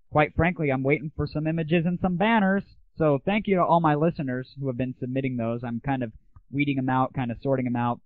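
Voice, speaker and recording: A man's voice: medium pitch, no echo. A dry, phone-like recording carries a man hosting a casual audio show. He speaks straight to his listeners in a crude, irreverent way, like a loose radio sign-off. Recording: tinny, phone-like 1990s internet audio.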